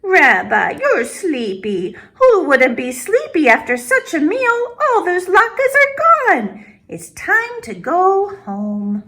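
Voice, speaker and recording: A woman doing a doting old granny, high and sing-song. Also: big pitch swings, slow pace, fairly bright tone, full character voice. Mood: fussing. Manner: doting, chatty, motherly. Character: kindly fussing old grandmother, small and warm-hearted.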